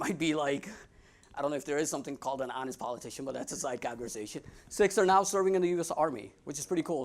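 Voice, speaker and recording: A young man with a Midwestern American accent giving a casual, joking slide presentation to an audience, with frequent fillers and restarts. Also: mid-range voice. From United States. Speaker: guest speaker.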